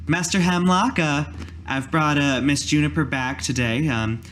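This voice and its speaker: A man's voice: fairly high pitch, measured pace, a bit bright, a little hiss or hum, pitched above the performer's own voice. A man voicing a polite, hesitant young female apprentice in a tabletop game, deferential in manner.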